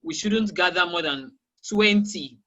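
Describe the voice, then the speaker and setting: Bright, emphatic Nigerian-accented teacher. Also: mid-range voice, a little slow, lo-fi sound. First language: Yoruba. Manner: emphatic, repeats key phrases. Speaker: guest teacher of English. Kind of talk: candid interview answers.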